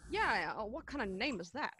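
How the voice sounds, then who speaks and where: A woman playing a brash but awkward male reporter in radio comedy style, halting and stammering, on a home recording. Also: on the high side, very animated, pushed and bright, breathy edge, heavily acted. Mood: brash, grumbling. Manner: hesitant, stammering drawl with frequent uhs. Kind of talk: 1940s radio comedy acting.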